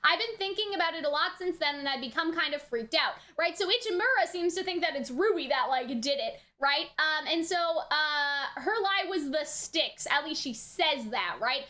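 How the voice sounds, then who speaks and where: A woman's voice: high voice, lively intonation, normal speed, hardly any pauses, pushed and bright. A woman in her early twenties giving casual, chatty, emphatic commentary to viewers as a review host, often checking in with the listener. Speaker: anime reaction and review host.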